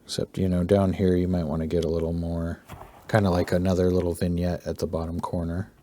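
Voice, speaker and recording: A man narrating a relaxed drawing tutorial as the channel host, his voice slightly hoarse, talking loosely with fillers. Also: low voice, fairly quick.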